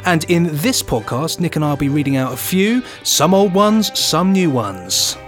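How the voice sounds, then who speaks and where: A man's voice: on the high side, expressive, moderate pace, a bit bright, soft background music. A man co-hosting a podcast, reading scripted items mixed with banter. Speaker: podcast co-host.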